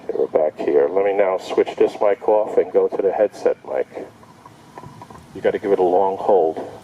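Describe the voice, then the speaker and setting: Low, mellow man testing gear outdoors. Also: moderate pace, muffled recording, slight background noise. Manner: thinks aloud in short step-by-step remarks. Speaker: product reviewer. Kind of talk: casual hands-on product demonstration, talking through a test. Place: outdoor backyard.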